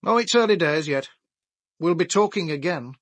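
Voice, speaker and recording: Man playing sardonic, higher-voiced British police inspector. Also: animated, moderate pace, no room sound, close to an everyday voice. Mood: politely pointed. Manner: wry, probing, clipped questioning. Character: shrewd, dryly sardonic male police detective inspector. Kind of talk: full-cast radio drama.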